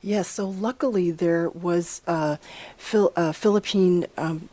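A woman in her fifties from the Boston area, an academic guest telling a family story in a lively, conversational way, with fillers and jumps in pitch for emphasis. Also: slow pace. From Boston area, USA. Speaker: guest, ethnomusicologist and author.

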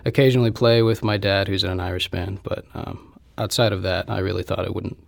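A man's voice: low-pitched, fairly even intonation, a little fast, gravelly. A young American man talking casually as a guest in a radio interview. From Indianapolis, United States.

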